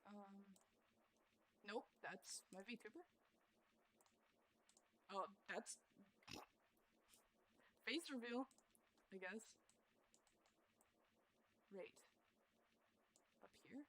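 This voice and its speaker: A woman's voice: mid-range voice, drawn-out words, long pauses, bright, forceful tone, rough recording. A young woman streaming while she makes music, rambling and thinking aloud as she works, with lots of restarts. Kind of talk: casual live-stream chat while working.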